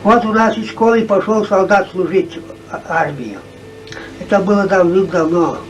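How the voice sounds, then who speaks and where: A man telling a folk tale aloud in short, halting phrases, on an old 1990s archive video recording. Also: high voice, recorded from a distance, dull audio, music in the background. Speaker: folk storyteller.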